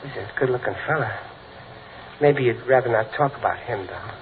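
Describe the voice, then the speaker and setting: An actor playing a bumbling, good-hearted middle-aged American father in old radio comedy, stammering and folksy with rough working-class grammar. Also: mid-range voice, a little fast, natural voice. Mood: gentle, chatty. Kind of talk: 1940s-50s radio comedy acting. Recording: noisy, tinny vintage radio recording.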